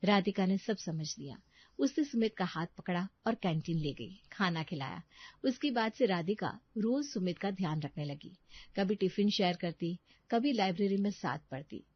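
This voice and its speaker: A woman narrates a scripted story at a medium pitch. The recording is very dry and slightly dull, with the top end softened. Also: expressive, normal speed, breathy edge, no echo.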